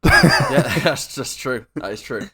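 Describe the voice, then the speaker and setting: A man's voice: mid-range voice, very animated, slow pace, bright, forceful tone. A young man co-hosting a casual podcast, rambling and laughing as he drifts into tangents. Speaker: podcast co-host.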